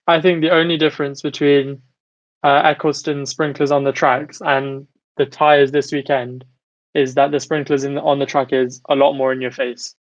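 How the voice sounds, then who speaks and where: A man hosts a sports podcast with a level, barely varying pitch. He argues his opinions conversationally and puts questions to the others. The recording is dry and slightly dull. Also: level tone, normal speed, no room sound. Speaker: podcast host steering discussion. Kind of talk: opinionated casual sports discussion.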